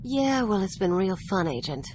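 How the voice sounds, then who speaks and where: A woman's voice: mid-range voice, very animated, moderate pace, noisy background, lightly acted. A woman playing a brash, guarded young female sidekick in a video game, speaking in a blunt, casual way. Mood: hurt, defensive. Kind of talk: scripted video game acting, conversational.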